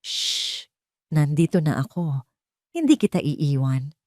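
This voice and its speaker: A woman's voice: sits low, big pitch swings, slow pace, darkish tone, dry recording, clean studio sound, full character voice. A woman with a Filipino accent voicing a desperate, protective mother in an audio drama, urgent and pleading. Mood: soothing. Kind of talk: audio drama character acting.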